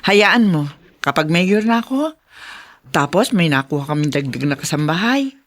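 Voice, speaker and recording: A woman's voice: lowish voice, big pitch swings, normal speed. A Filipino woman acting in a radio drama as a gracious, kindly middle-aged mother running for mayor.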